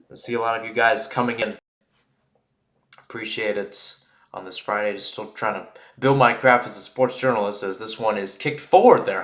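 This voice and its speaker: A man's voice: medium pitch, echoey room. A man doing live football play-by-play in a radio style, explaining at length between plays. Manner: rambling and explanatory between plays, excited on big plays. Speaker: play-by-play commentator.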